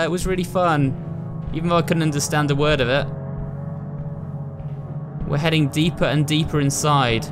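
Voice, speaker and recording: A British man giving chatty, casual commentary while playing a horror game, addressing his viewers as host. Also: a little high. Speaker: gaming video host. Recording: voice over game music. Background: ominous game music.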